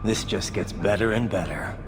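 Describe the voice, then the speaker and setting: A man's voice: on the low side, moderate pace, close to an everyday voice. A man playing a tough, wry American cyborg ninja soldier in a video game. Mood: businesslike, wry.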